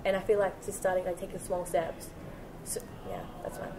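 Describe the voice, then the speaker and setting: A young woman from Australia speaks as a student in a casual group talk. She thinks aloud, hesitates and says "like" often, with clear noise around her. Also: on the low side, lively intonation, moderate pace. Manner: thoughtful, hesitant, uses "like". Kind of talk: casual discussion.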